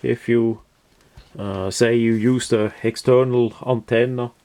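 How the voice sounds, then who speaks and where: Male product reviewer, unhurried and methodical, unscripted hands-on delivery with halting phrasing. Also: mid-range voice, fairly even intonation, creaky, mellow.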